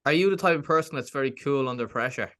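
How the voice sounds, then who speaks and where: A man at mid pitch hosting an interview. He asks short, direct questions and follows up to pin down the details of his guest's answers. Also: fast, fairly bright tone.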